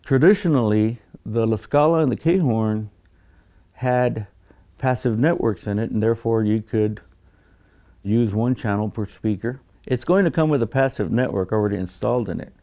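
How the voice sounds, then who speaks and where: A man speaking as a design engineer in an explanatory interview, measured and technical, often pausing mid-phrase. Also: fairly low pitch, warm tone.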